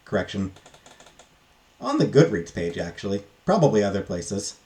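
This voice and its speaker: A man talking casually and unscripted as a book reviewer, in a halting, hesitant way with trailing asides. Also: fairly low pitch, very animated, a little mellow.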